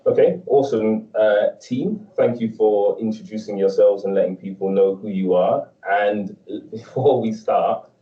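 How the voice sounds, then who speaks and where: Deep-voiced male moderator on a video call, measured and chatty, heard from afar in an echoey room. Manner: measured, conversational, fond of "right?". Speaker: panel moderator. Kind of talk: hosting an online panel. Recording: dull, distant, roomy call audio.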